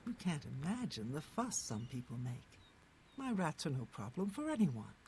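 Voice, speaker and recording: A woman's voice: low-pitched, big pitch swings, normal speed, a little mellow. A woman playing a chatty, eccentric townswoman in a fantasy video game. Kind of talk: video game character dialogue. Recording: game audio with background noise.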